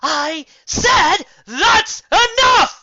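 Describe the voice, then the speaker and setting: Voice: very high voice, expressive, very slow, bright, forceful tone, no room sound, voice pushed up, full character voice. A narrator voicing a patient female pony schoolteacher in a cartoon story, with forced authority and restrained frustration. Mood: exasperated.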